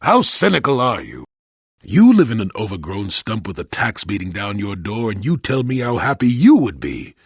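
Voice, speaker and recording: An actor playing a cantankerous, wry old male Jedi hermit in a video game, with a gravelly, husky voice and a grumbling, sardonic manner. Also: lowish voice, moderate pace, no room sound, clean studio sound, heavily acted. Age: older. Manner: grumbling, sardonic, theatrically expressive. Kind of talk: video game character dialogue.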